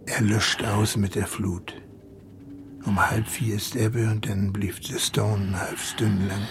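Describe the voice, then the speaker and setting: An actor playing a kindly, plain-spoken older seafaring man in a German radio play, with a homely, deliberate manner. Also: lowish voice, little pitch movement, slight background noise. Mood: hushed, resigned.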